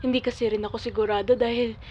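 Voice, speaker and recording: High-voiced caring Filipino mother , acted by a woman. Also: flat delivery, quick pace, a little mellow, muffled recording, a little hiss or hum, close to an everyday voice. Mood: apologetic, loving. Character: affectionate, anxious Filipino mother working abroad. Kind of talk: audio drama dialogue.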